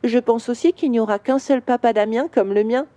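Woman playing a gentle little girl. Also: fairly high pitch, brisk, dry recording, close to an everyday voice. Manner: soft, patient, guiding. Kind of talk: children's audio story.

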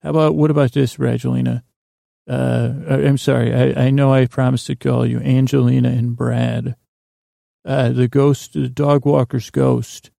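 A man hosting a podcast with a meandering, halting bedtime monologue that keeps drifting off track, in a gravelly, creaky voice. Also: medium pitch, level tone, warm tone, no room sound, studio quality. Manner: meandering, halting, digressive, loses his thread. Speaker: podcast host. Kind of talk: rambling bedtime monologue meant to help listeners sleep.

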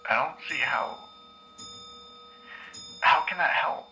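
A man playing a troubled, unsure therapy patient in an audio drama, talking haltingly. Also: fairly high pitch, sharp tone, faint music behind, exaggerated voice. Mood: hesitant, doubtful. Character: troubled, hesitant male therapy patient with lost memories. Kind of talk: scripted audio drama dialogue.

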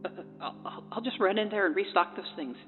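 Stammering, high-pitched, meek trainee. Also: male voice, lively intonation, a little fast, phone-quality sound. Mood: timid, placating. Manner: stammering, timid, hesitant. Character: nervous, meek young male trainee assistant named Bob. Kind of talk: audio drama acting. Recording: reverberant hall, music behind.

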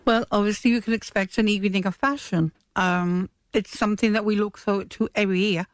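A woman's voice: mid-range voice, fairly even intonation, brisk, no room sound. A woman chatting as a guest in a radio interview. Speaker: radio guest, stage manager. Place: radio studio.

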